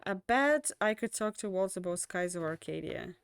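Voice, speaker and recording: A young woman streamer winds down her stream in loose, rambling chat, full of ums and restarts. She is tired and her voice is fading, yet it still comes through smooth and clear. The sound is close and dry, with no room around it. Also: mid-range voice, animated, moderate pace, no room sound. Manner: rambling, many fillers and restarts. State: losing her voice. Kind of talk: casual end-of-stream chat.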